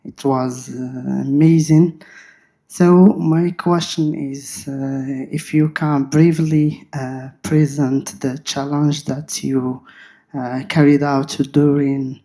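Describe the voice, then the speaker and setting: A gravelly, mellow-toned audience member poses a spontaneous question. The delivery is polite, slow and full of hesitation. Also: mid-range voice, little pitch movement.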